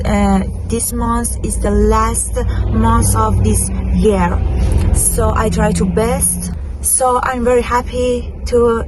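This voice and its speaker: A woman with a Persian accent talks slowly and evenly to her vlog audience. Persian is her native language, and her English comes out hesitant, with searches for words. Clear ambient noise runs behind her voice. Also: medium pitch. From Iran. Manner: halting, hesitant English with frequent word searching. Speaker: travel and lifestyle vlogger. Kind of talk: casual vlog talk to camera.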